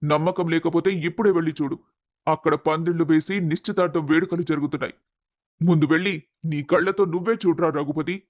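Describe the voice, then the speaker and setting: A man's voice: a little high, fairly even intonation, fast talker, natural voice. A man playing a blunt, indignant old village man who talks in a scolding, emphatic way in a dramatized story. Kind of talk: dramatized moral story acting.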